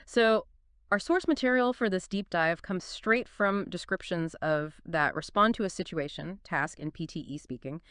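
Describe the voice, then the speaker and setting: Smooth low-voiced woman podcast host. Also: normal speed, fairly bright tone, dry recording, clean studio sound. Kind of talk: scripted conversational explainer podcast.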